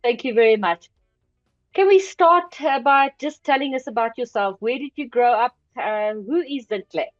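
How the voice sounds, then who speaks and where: A woman's voice: on the high side, animated, moderate pace, dry recording, muffled recording. A woman hosting an interview, asking hesitant questions with fillers. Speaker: interviewer, host.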